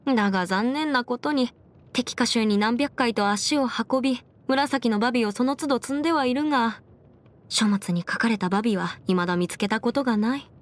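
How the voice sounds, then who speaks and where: A woman's voice: a little high, moderate pace, close to an everyday voice. A woman voicing a confident, clever young female legal advisor in an anime game, articulate and slightly boastful, with playful pride. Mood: explaining. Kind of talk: Japanese anime game character voice lines. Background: music.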